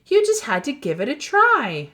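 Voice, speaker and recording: Woman as bold boy hero, storybook leader, eager, encouraging, animated swings in pitch. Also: normal speed, exaggerated voice. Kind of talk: children's storybook character reading.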